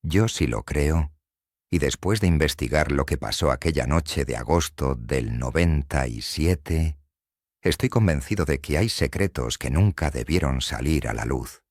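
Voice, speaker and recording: A man's voice: very deep voice, lively intonation, measured pace, no echo, clean studio sound. A man with a Mexican accent narrating a scripted horror story in a grave, suspenseful manner.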